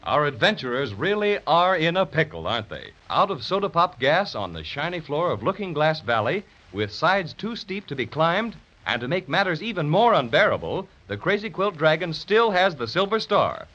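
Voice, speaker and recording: Casting: male serial announcer, forceful bright tone, highish pitch, muffled vintage radio. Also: very animated, normal speed, few pauses. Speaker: announcer and narrator. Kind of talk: 1930s radio serial narration, storytelling to children. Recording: muffled 1930s radio recording.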